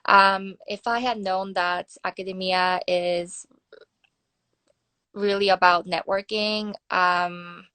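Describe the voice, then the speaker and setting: A woman's voice: on the low side, fairly even intonation, slow, bright, forceful tone, smooth, no room sound. A woman with a Korean accent, a native Korean speaker, answering interview questions as a guest on a video call, thoughtful with many ums and uhs. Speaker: guest, nuclear security fellow.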